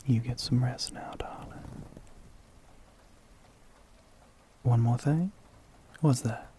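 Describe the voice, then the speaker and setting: A man voicing a flirty, sly, gentlemanly demon with a Southern US accent in an ASMR roleplay, half-whispered, breathy and husky, with a teasing drawl. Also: medium pitch, close to an everyday voice. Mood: tender, teasing. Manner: slow, teasing drawl with pet names.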